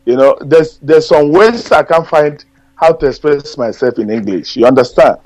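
A middle-aged Nigerian man whose first language is Igbo, calling in to a radio show and speaking haltingly with many fillers and repeated words. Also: a little high, expressive, a little mellow, dry recording, highs cut off. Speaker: radio caller. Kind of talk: call-in radio talk. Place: Germany.

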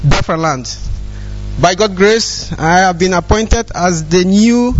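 A man's voice: high voice, slow pace, faint music behind. A man making an earnest, declamatory speech of introduction as a local coordinator. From born in Cameroon, family from Imo State, Nigeria. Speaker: newly appointed local coordinator. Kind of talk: short speech of introduction and thanks. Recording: dull sound with faint hum.

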